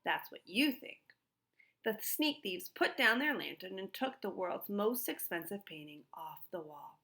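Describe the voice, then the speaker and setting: A woman narrates a children's storybook with expression. Her voice is bright and sits at a middling pitch. She reads as a storyteller addressing young listeners. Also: sing-song, gravelly. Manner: pauses to put questions to young listeners. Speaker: storyteller reading a children's book aloud. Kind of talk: expressive read-aloud narration.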